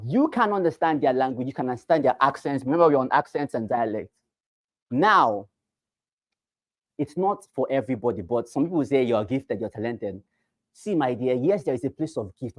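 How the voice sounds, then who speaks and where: A man with a Nigerian accent leads a classroom session for realtors and drives each point home by repeating it. He swings from loud bursts to quiet asides and keeps asking rhetorical questions. His voice sits at a middling pitch and is recorded dry, with no room echo. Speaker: real estate trainer. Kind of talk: classroom training talk.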